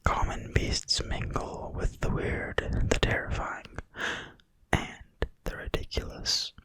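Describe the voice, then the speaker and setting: Asmr reader whispering super slow, breathy and quiet, crisp sharp hiss on the words. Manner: quiet, slow, deliberate phrasing. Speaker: ASMR video host and reader. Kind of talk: whispered ASMR reading of a game manual.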